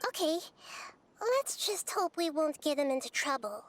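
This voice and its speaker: A small, gentle goddess in a video game. The voice is high and young, yet speaks with measured, thoughtful wisdom. There is slight noise in the background. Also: female voice, sing-song. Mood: composed.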